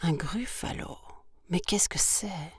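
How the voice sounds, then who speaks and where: Woman as a storybook snake, sly, falsely friendly, timid, hushed, coaxing, slow, dry sound. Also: lowish voice, gravelly, breathy edge, no room sound, full character voice. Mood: puzzled.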